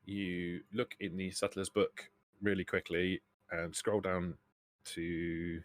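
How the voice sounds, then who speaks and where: A man's voice: low voice, level tone, slow pace. A man explaining rules conversationally as the game master of a tabletop roleplaying session.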